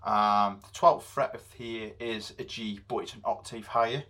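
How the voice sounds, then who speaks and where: A man with a deep voice giving an unscripted music theory lesson, with many pauses and fillers. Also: steady tone, bright, forceful tone. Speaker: music teacher.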